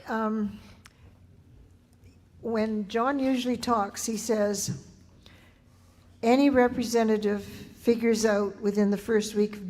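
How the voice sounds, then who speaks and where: A woman on a discussion panel, answering audience questions in a measured, explanatory way. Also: medium pitch, a little mellow. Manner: measured, corrects and elaborates points. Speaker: panel speaker. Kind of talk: explanatory reply at a public meeting. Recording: long hall reverb.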